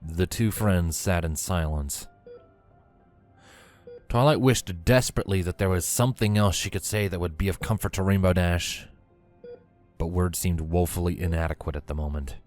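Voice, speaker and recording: A man's voice: low voice, darkish tone. A man reading scripted story narration as the narrator of a fan fiction tale. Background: faint music.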